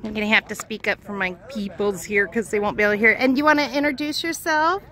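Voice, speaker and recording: A woman's voice: mid-range voice, fast talker, sharp tone. A woman chatting casually and enthusiastically as a vlogger while touring a van, recorded outdoors in the wind on a handheld camera. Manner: enthusiastic, exclaiming. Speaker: vlogger interviewing a van owner. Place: outdoors in open desert, in and beside a van. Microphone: handheld camera or phone. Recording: windy, noisy outdoor camera audio.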